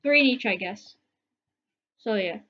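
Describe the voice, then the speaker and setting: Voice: medium pitch, lively intonation, measured pace, muffled recording, a little hiss or hum. A gamer giving casual, offhand commentary while playing a video game, thinking aloud. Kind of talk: casual let's play commentary. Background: video game music.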